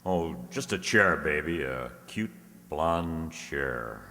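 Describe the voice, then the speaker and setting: Man playing a deep-voiced, glib private eye. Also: expressive, slow pace, pushed and bright, full character voice. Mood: evasive, flirty. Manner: glib, teasing. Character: flirtatious wisecracking male private detective. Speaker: actor playing a detective. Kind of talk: old-time radio detective acting with crooning.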